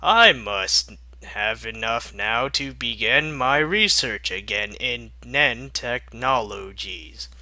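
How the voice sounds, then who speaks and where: A man's voice: medium pitch, slow pace, bright, forceful tone, dry recording. Man voicing a smug scheming lady inventor.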